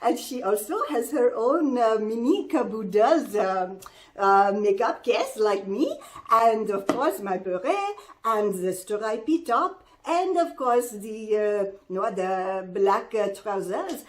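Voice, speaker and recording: A woman voicing a chatty, good-natured fashion sidekick, speaking haltingly with singsong phrasing. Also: mid-range voice, animated. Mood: cheerful, chatty. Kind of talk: playful in-character vlog chat.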